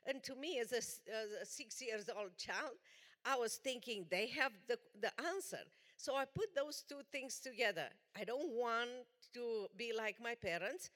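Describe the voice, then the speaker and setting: A woman from Romania with a Romanian accent, giving an earnest, deliberate personal testimony as a guest speaker in a church. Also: fairly high pitch, animated, fairly bright tone. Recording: long church hall reverb.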